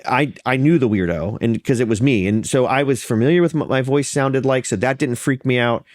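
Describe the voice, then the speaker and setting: A pastor being interviewed, talking in an earnest, storytelling way with a slightly congested, nasal sound and frequent restarts. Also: male voice, mid-range voice, fairly quick, no room sound, studio quality. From Florida. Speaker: pastor and podcast guest. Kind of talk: conversational interview.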